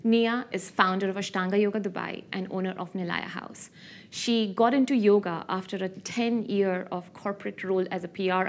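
A woman's voice: medium pitch, creaky, slight background noise. A woman hosting a small-business podcast, introducing her guest and asking friendly, encouraging questions. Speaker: podcast host. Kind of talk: podcast interview.

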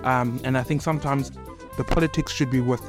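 A young man with a South African accent, a high school teacher speaking earnestly as a podcast guest, peppering his points with "you know". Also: steady tone, a little fast, over music. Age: young adult. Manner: earnest, opinionated, many fillers like "you know". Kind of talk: casual podcast conversation.

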